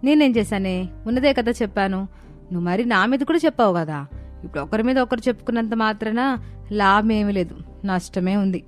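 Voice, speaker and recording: A woman acts out a young, food-mad daughter-in-law from a cartoon family story. She is sly and conspiratorial, speaking expressively in a middle-pitched voice over music. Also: a little fast, lightly acted. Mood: defensive, bickering. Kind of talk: dramatized moral-story cartoon acting.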